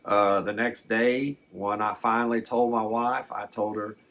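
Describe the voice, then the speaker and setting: An older man, telling a personal story in a halting, reflective, folksy way. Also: on the low side, steady tone, fairly bright tone. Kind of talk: slow first-person storytelling.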